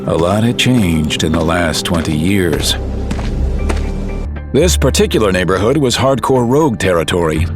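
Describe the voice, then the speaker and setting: A man narrates an audio drama in a steady, measured storytelling voice. His pitch sits low, and a clear music bed plays under his reading.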